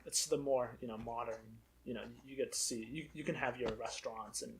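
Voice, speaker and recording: A man's voice: mid-range voice, steady tone, brisk, crowd noise, poor audio. A young man from Ethiopia chatting easily as a talk-show guest, laughing often and saying "you know" a lot. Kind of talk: casual chat.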